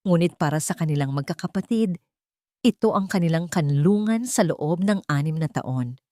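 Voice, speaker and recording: A woman with a Filipino accent narrating a scripted story in a measured, dramatic way. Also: fairly low pitch, lively intonation, mellow, dry recording. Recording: dry studio voice over background music. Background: background music.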